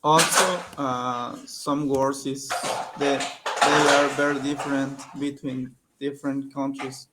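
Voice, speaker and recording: A man learning English on a video call, speaking in a slow, halting way with many restarts. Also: medium pitch, flat delivery, heavy background noise. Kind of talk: casual chat on a video call.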